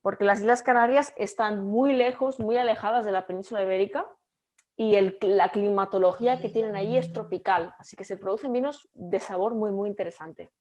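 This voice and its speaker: A young Catalan woman with a Catalan Spanish accent, giving an online lecture over a video call and speaking haltingly with frequent fillers and restarts. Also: medium pitch, darkish tone. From Catalonia, Spain. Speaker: guest lecturer.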